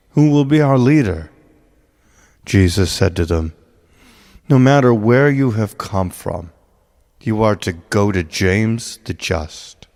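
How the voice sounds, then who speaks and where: A man reading a spiritual text as solemn scripture, with measured, deliberate and dramatic phrasing. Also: on the low side, a little mellow. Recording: long cavernous reverb.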